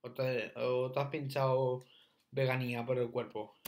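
A young man hosting a reaction video, mocking with loud repeated exclamations as he comments on clips from his room. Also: medium pitch, little pitch movement, measured pace.

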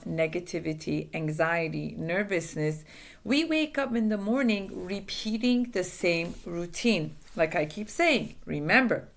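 A woman's voice: mid-range voice, vocal fry. A woman in her forties, a native Farsi speaker, giving earnest self-help advice to camera, repeating key phrases, recorded by a laptop webcam in a small room.

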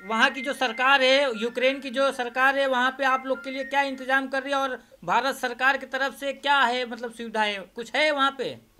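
A man's voice: extremely high pitch, level tone, moderate pace, pushed and bright. A man reporting on location into a handheld microphone, interviewing and talking to camera with repetitive phrasing. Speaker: field reporter. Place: small crowded indoor office.

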